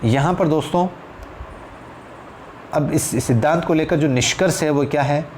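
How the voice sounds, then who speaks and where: A man's voice: fairly high pitch, a little mellow. A man with an Indian accent teaching in an explanatory lecture style, repeating phrases for emphasis and addressing listeners as friends. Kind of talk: exam-prep lecture, explanatory. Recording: clear background noise.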